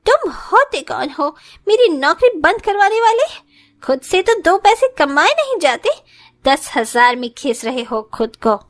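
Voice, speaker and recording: A woman voices a spoiled, arrogant young rich daughter-in-law in a cartoon. Her voice is extremely high and swings widely in pitch. She talks down to people with haughty, cutting scorn. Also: heavily acted. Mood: defiant. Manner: haughty, scornful, sharp-tongued. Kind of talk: Hindi moral story cartoon acting.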